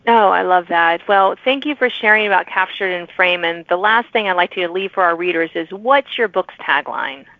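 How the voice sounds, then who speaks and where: A woman's voice: fairly low pitch, normal speed, few pauses, dry recording. A woman hosting a podcast interview in an enthusiastic, encouraging, conversational manner. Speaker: podcast host. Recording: narrow phone-like audio.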